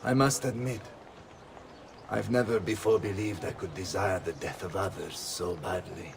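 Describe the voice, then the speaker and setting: A stately lord, lowish voice, formal n gracious, game cutscene, bit of noise behind. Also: male voice, fairly even intonation, vocal fry. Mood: gracious, solemn. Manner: formal, measured. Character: stately, gracious male Florentine ruler and patron. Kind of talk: dramatic game cutscene acting.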